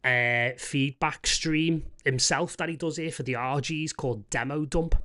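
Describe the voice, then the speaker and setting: A man with a softened Scouse accent, hosting a live music feedback stream in enthusiastic casual chat. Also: a little high, a little slow. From Liverpool, England. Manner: enthusiastic, leans on "you know" and "mate". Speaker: host of a music feedback show.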